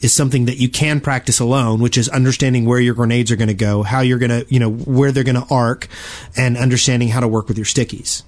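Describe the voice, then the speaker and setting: A man co-hosting a gaming podcast, explaining his points casually and haltingly, often doubling back on his words. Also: medium pitch, flat delivery, darkish tone. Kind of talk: casual panel discussion about video games.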